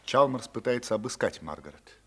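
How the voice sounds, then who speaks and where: Man, scripted narrator, mid-pitched voice, radio play, noticeable noise. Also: expressive, brisk. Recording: clearly noisy radio play recording.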